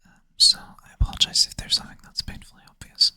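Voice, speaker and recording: Soft whisper, sleepy. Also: quick pace. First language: Swedish. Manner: soft whisper, thinking aloud, self-deprecating. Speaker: ASMR creator. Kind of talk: whispered ASMR puzzle play-through. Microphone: close ASMR mic.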